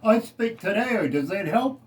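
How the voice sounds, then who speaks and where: Animated New Zealand man hosting radio. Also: high voice, unhurried, slight background noise. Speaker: radio DJ and interviewer. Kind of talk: casual live radio interview chat.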